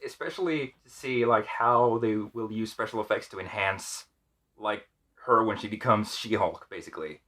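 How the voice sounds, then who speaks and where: A man co-hosting a casual fan chat, speaking in a hesitant, measured and agreeable way. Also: lowish voice, pushed and bright. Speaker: podcast co-host.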